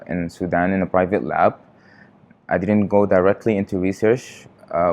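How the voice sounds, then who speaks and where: A young man from Qatar, a research trainee, answering interview questions in an earnest, halting way with frequent pauses. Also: low voice, a little mellow. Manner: earnest, halting, often pausing on fillers.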